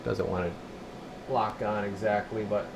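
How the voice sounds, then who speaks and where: Gravelly man talking through a bench demo. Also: on the low side, fairly even intonation, unhurried, noisy background. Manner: informal, folksy, muttering to himself while working. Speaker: repair technician presenting a bench test video. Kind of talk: casual hands-on demonstration. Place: indoor radio repair workshop.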